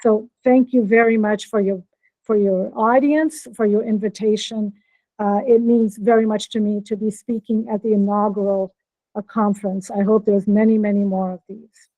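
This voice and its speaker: A woman's voice: mid-range voice, fairly even intonation, moderate pace, warm tone, dry recording, low-quality recording. Chatty American woman giving a keynote. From born in Norway, raised in Brazil. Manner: friendly, chatty, checks on listeners. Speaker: keynote speaker, professor. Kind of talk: academic keynote talk. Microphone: computer mic on video call.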